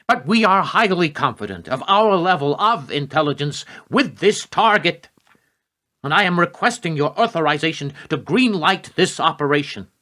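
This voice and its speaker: A man's voice: a little high, lively intonation, moderate pace, pushed and bright. A male actor playing a cold, calculating presidential adviser in a radio play, speaking formally and insistently.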